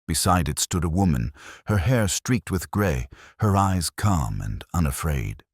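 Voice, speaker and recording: Extremely deep-voiced male storyteller. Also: animated, breathy edge, no echo, clean studio sound. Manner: measured, literary, solemn. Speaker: audiobook narrator. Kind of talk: scripted mystery story narration.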